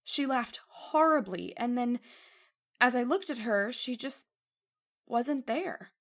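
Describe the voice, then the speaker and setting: A frightened young woman in an old-style radio horror play, higher-voiced and breathless. She pleads and recounts a terror. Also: animated, slow. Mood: haunted. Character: shaken young woman, Miss Fallon. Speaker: voice actor. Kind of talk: old-time radio drama acting.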